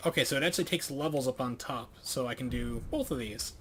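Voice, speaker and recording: A man streaming a video game and thinking aloud, reading tutorial text in a halting way and correcting himself as he goes. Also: mid-range voice, fairly bright tone, a little hiss or hum.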